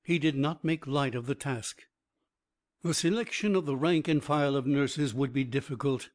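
A man reading a biography aloud as an audiobook narrator, in an even, measured way. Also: mid-range voice.

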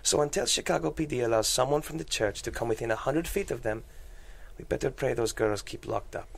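A man's voice: mid-range voice, fairly quick, vocal fry. A man reading a blunt, exasperated priest. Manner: blunt, incredulous. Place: small podcast studio.

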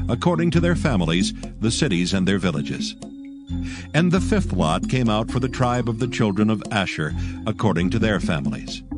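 Solemn low-voiced male narrator over music. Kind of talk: dramatized scripture reading, formal narration. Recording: prominent music bed.

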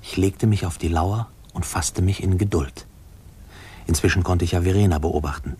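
Very deep, husky reflective narrator. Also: male voice, normal speed, darkish tone. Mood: reflective, uneasy. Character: reflective man recalling his fearful, spying boyhood. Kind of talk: intimate 1950s radio play narration.

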